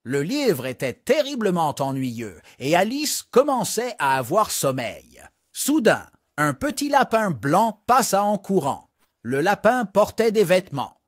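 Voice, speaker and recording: Man, high voice, dry close sound, expressive storybook narration for small children. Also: measured pace, dry recording. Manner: expressive read-aloud delivery.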